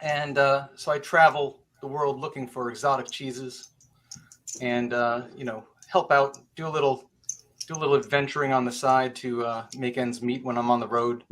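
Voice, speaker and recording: A man's voice: mid-range voice, little pitch movement, moderate pace, a bit bright, lightly acted. A man playing an affable travelling cheese merchant and adventurer, improvising in a tabletop roleplaying game.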